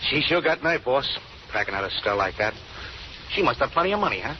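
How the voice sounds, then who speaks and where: An actor plays a none-too-bright, deferential gangster's henchman. His voice is medium-pitched and slangy, in radio melodrama style on a dull, noisy old recording. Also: very animated, fairly quick, close to an everyday voice. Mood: dutiful. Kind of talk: 1930s-40s radio drama acting.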